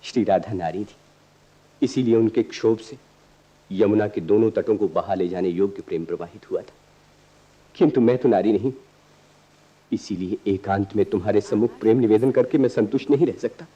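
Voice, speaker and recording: A man's voice: fairly low pitch, normal speed, long pauses, mellow, slightly rough. An actor playing an earnest, idealistic young man in a radio play, speaking in lofty, poetic, declamatory lines. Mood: idealistic, pleading. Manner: lofty, declamatory, literary diction. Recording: dull archival radio recording.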